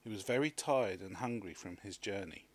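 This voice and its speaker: A man reading a children's story aloud as an expressive scripted narration. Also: lowish voice, moderate pace. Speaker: storybook reader.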